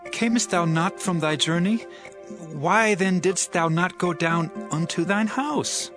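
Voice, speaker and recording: A man's voice: high voice, unhurried, music in the background. An actor playing a commanding, scheming king of ancient Israel in a dramatized Bible, with formal declamatory speech. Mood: questioning, persuasive.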